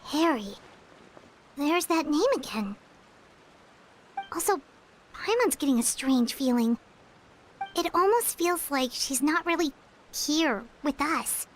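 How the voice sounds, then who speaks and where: A woman's voice: high voice, animated, slow, pauses often, slight background noise. A woman voicing a tiny childlike fairy companion in a video game, chatty, earnest and curious. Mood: puzzled, concerned. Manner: speaks of herself in third person. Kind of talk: scripted video game voice acting.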